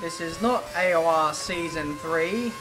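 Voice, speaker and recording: A man with a British accent talking over his racing game in a chatty, excitable play-by-play, his voice a little croaky. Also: on the high side, a little slow, background noise. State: slightly croaky. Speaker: gaming YouTuber.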